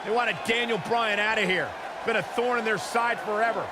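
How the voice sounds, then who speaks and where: A man's voice: sits high, fairly even intonation, brisk, natural voice. A man playing a dramatic, alarmed play-by-play wrestling announcer in a video game, speaking emphatically. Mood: dramatic, excited. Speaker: lead play-by-play wrestling commentator. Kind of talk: excited sports commentary in a video game. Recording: loud arena crowd noise behind. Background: roaring wrestling crowd.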